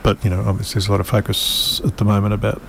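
A male community radio host with a gravelly, lowish voice. He talks in a loose, rambling, wry way, full of ums and asides. Faint noise sits under the studio recording. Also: little pitch movement, very fast. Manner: rambling, wry, with frequent fillers. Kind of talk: casual radio interview.

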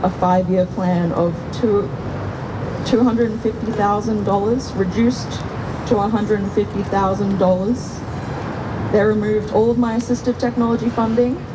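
Woman reading over clear background rumble. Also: steady tone, slow. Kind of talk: reading a prepared personal speech.

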